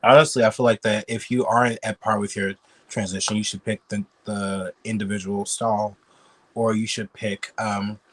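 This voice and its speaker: A man's voice: on the low side, level tone, moderate pace, fairly bright tone. A man who is sick and sniffly, chatting bluntly and casually as a YouTube reaction commentator. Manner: chatty, blunt, swears freely.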